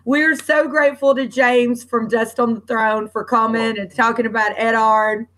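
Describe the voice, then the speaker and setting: A woman's voice: a little high, little pitch movement, normal speed, fairly bright tone. A woman hosting a casual online book club panel, chatty and rambling, laughing easily, on a home computer mic during a video call.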